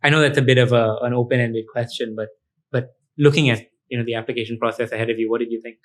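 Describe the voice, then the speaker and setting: A male interview host from India who talks at a rapid clip, tumbling through restarts and little asides. His pitch sits in an ordinary middle range, and his tone stays conversational and encouraging. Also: fairly even intonation. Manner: quick, chatty, with frequent restarts and affirming asides. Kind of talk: casual interview.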